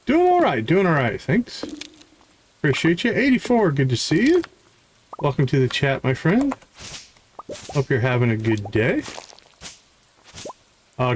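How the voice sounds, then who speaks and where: A man's voice: medium pitch, a little hiss or hum, low-quality recording. A man doing casual live commentary as he plays a game, chatting in a friendly way with viewers. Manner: friendly, greets viewers by name. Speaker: livestream host playing a farming game.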